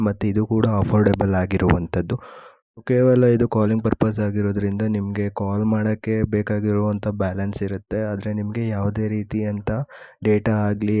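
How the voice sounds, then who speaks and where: A man's voice: on the low side, mellow, dry recording, clean studio sound. A man hosting an informal tech explainer, addressing viewers and going over details step by step. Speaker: host of a tech explainer channel.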